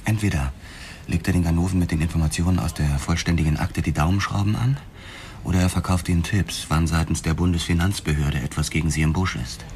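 An actor playing a driven, curt police detective in a German radio drama, speaking in a clipped, insistent way. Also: male voice, sits low, steady tone, fast talker, darkish tone. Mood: matter-of-fact. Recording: clear background noise.

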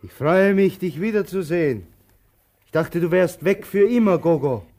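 An actor playing a ponderous, talkative male tramp in a radio drama, soft-voiced, ruminative and declamatory. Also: high voice, animated, normal speed. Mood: effusive. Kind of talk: theatrical radio drama acting.

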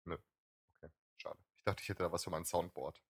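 A man's voice: sits low, steady tone, a little fast, husky, no echo, clean studio sound. A man co-hosting a casual streamed podcast, teasing and needling his co-host.

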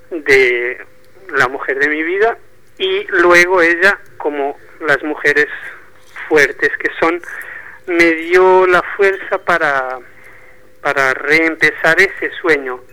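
A Portuguese man with a Portuguese accent in Spanish, touched with Argentine Spanish, talking slowly as a radio guest. His voice is sharp and bright and sits a little high for a man. He stops and repeats words often while he looks for the right phrase. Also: clear, resonant tone, rough recording. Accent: Portuguese speaking Spanish, with a touch of Argentine Spanish. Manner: thoughtful, halting, repeats words while searching. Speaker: poetry bookshop owner, guest. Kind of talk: radio interview.

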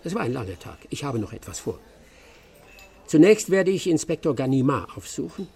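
An actor playing a self-assured, boastful English master detective in a German radio play, pompous, brisk and commanding. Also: male voice, fairly high pitch, very animated, mellow, breathy edge, full character voice. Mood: brisk, superior.

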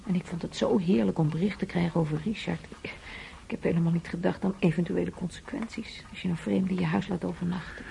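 A woman playing an anxious, hospitable wife and mother in a Dutch radio play, expressive and coaxing. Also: on the low side, fast talker, vocal fry, slight background noise, rough recording. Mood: anxious, hushed.

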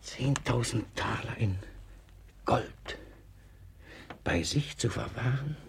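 An actor playing a paranoid, irascible old miser in a German radio comedy, raspy, blustering and suspicious, often muttering to himself. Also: male voice, mid-range voice, takes it slowly, slight background noise. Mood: anxious soliloquy. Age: older. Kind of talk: German stage-comedy radio play acting.

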